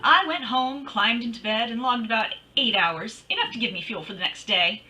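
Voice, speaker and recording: A woman's voice: fairly high pitch, moderate pace, pushed and bright, far from the mic, soft background music, low-quality recording. A woman playing a wry, wisecracking private detective in an old-time radio drama. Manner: wry, snappy.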